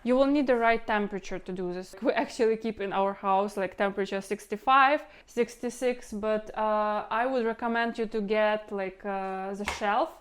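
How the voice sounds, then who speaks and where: Ukrainian accent lady doing a casual cooking vlog, clean smooth voice, kinda rambly, normal pitch. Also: moderate pace, few pauses. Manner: rambling, repeats words, non-native phrasing. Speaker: home cooking channel host. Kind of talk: casual instructional vlog talk.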